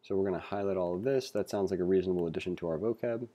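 A man giving a read-along tutorial as an instructor, reading aloud and adding informal asides as he thinks out loud. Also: deep voice, rapid-fire. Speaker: programming instructor. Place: indoors, screen-recording voice-over.

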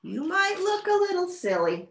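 A woman's voice: very high-pitched, very animated, normal speed, reverberant, voice pushed up, exaggerated voice. A woman voicing a modest, friendly male goose who encourages and instructs in a children's storybook. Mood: kindly.

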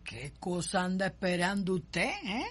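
Husky, slow-talking Cuban servant woman. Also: lowish voice, animated. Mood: insistent. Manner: pointed questioning in folksy speech. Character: blunt, probing servant woman confronting her young mistress. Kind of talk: radio soap opera acting.

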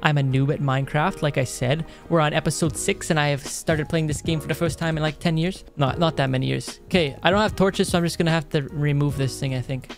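A young man giving casual, excitable let's-play commentary in a chatty way, close on a studio microphone. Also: fairly high pitch, quick pace, few pauses, faint music behind. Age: young adult. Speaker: gaming video host. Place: indoor room at a desk. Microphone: close dynamic studio microphone.

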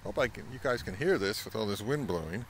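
A middle-aged man giving a measured, instructional talk to camera as a vlog host, recorded outdoors. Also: fairly low pitch, lively intonation, a little hiss or hum. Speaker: vintage audio channel host. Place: outdoors by a pond and on a wooded trail.

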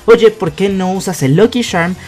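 A young man with a slightly high voice narrates an episode breakdown as an online host. He explains point by point in a conversational, emphatic way. Music plays softly behind him. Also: normal speed. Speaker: YouTube host. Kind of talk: narrated cartoon episode breakdown, countdown list. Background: background music.

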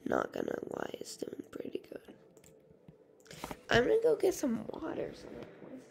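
A woman with a raspy, hoarse voice giving rambling, casual commentary while playing a game. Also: on the high side, huge pitch swings, moderate pace, a little mellow, in a whisper. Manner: rambling, chatty, full of fillers. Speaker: gaming channel host.

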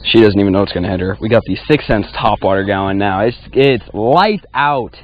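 A young man in his twenties, casually teasing and keeping score out loud while fishing from a small boat on a lake. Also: on the low side, fairly quick, highs cut off. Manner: teasing, keeps score aloud. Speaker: fishing vlogger. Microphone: action camera on a chest harness.

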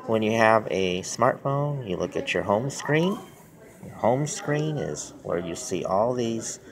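A man explaining something step by step in plain, halting, repetitive short phrases, like a simple tutorial. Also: low-pitched, gravelly, fairly bright tone. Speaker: tutorial presenter. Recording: noisy handheld phone recording with clicks.

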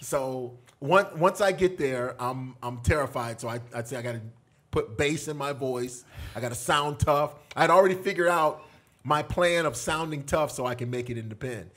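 A middle-aged man casually telling animated stories as a podcast guest, in a small studio on a boom mic. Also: moderate pace. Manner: animated, gestures a lot while telling prison stories. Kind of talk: casual storytelling. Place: small studio with foam panels. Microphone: boom mic on table.

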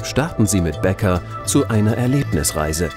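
A man's voice: on the low side, lively intonation, normal speed. A man delivering scripted promotional narration as a voice-over. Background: music.

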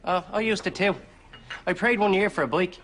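A man's voice: high-pitched, brisk, a bit bright, a little hiss or hum. A young man playing a cheeky Irish chancer with a Dublin accent in a television sitcom. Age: young adult.

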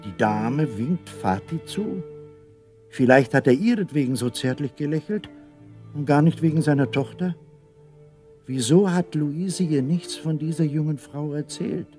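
A man's voice: on the high side, a little slow, a little mellow, faint music behind. A man narrating a scripted children's radio play as a storyteller.

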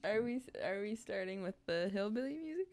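A woman's voice: medium pitch, lively intonation, a little fast, a little mellow. A young woman co-hosting a music show, giving brief, laconic replies into a stand microphone. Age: young adult. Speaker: co-host, singer and guitarist. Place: small dim indoor room with wood-panelled wall.

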